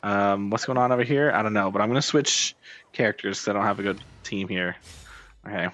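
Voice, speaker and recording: A man's voice: lowish voice, a little fast, fairly bright tone. A man giving casual gameplay commentary as a streamer, muttering to himself as he plays. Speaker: video game streamer. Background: game music.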